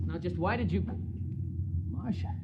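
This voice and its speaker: An actor playing an earnest, troubled rocket ship captain and estranged husband in a 1950s radio drama. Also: male voice, sits high, unhurried. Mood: earnest, startled. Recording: muffled, noisy 1950s radio recording.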